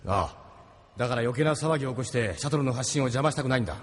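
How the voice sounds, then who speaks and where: A man playing a gruff, decisive resistance captain with a brusque, commanding manner in a Japanese audio drama. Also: mid-range voice, quick pace, close to an everyday voice. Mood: stern, brusque.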